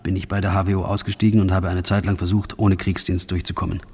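Grim soldier, very low husky voice, measured, matter-of-fact, radio play monologue. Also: male voice, little pitch movement, a little mellow. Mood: sober, recounting. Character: grim adult male soldier recounting his war service.

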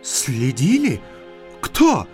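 An actor playing an excitable, earnest gentleman doctor in an audio drama, with music behind him. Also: medium pitch, very slow, exaggerated voice. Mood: surprised, earnest.

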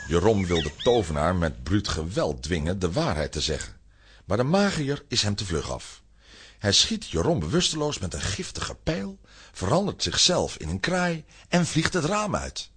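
A male narrator telling a children's adventure story as scripted drama. His voice is low and very husky, swinging widely in pitch for effect. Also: big pitch swings, normal speed, fairly bright tone.

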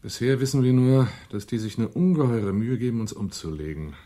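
A man's voice: medium pitch, brisk, dark tone, natural voice. An actor playing a blunt, sceptical hunted man in a radio drama thriller. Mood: grim.

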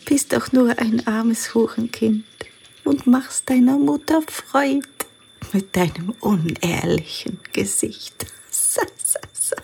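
An actress playing a poor, earthy young mother, restless and defiant, in a radio play with drawn-out, half-sung murmuring. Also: a little high, gravelly, darkish tone, a little hiss or hum. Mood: tender, singsong. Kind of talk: German-language radio play acting. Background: faint music.

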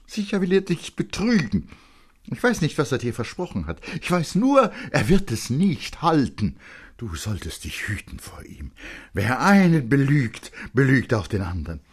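A man acts out a defiant young boy from a dramatic audiobook, pitched well up. The child is agitated and accusing, pressing each phrase with vehement emphasis. The voice is husky and swings widely. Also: huge pitch swings, moderate pace. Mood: urgent pleading. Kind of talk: dramatic literary audiobook acting.

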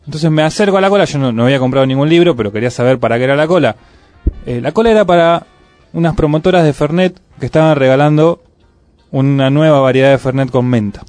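A male radio host, talking in a loose, anecdotal way full of fillers. His voice sits at an ordinary pitch and sounds close and dry in the studio. Soft music plays underneath. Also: fast, no echo. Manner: rambling, anecdotal, with many fillers. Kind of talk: casual radio chat.

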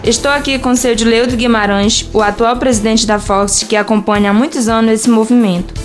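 Northern Brazilian woman reporter with highish voice. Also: steady tone, a little fast. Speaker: radio reporter. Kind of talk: scripted radio interviewing. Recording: music behind the voice.

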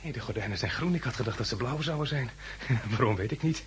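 Man acting an irritable, animated hospital patient. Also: mid-range voice, fast talker, lightly acted. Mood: relieved, elated. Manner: grumbling, sardonic, talking to himself. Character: irritable, sardonic male hospital patient with bandaged eyes. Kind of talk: 1960s Dutch radio drama acting. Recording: hissy 1960s radio recording.

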